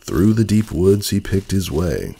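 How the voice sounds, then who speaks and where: A man giving a dramatic storybook narration in a measured, solemn, theatrical manner. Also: deep voice, very animated, vocal fry, mellow, slightly rough.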